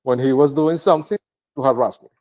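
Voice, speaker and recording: A man's voice: mid-range voice, fast, mellow. A man whose first language is Spanish, speaking English in an unscripted, rambling monologue to camera, with many restarts and sentences ending in "right?". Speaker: video blogger.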